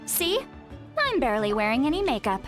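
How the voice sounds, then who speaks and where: Haughty, teasing game heroine, animated. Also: female voice, sits high, fairly quick, over music. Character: teasing, haughty young-looking woman who is secretly an elder. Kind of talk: video game voice acting.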